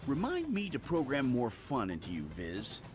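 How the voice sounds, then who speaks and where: A man's voice: medium pitch, huge pitch swings, normal speed, music in the background. An actor playing a cocky, witty male genius inventor who makes wry, joking quips.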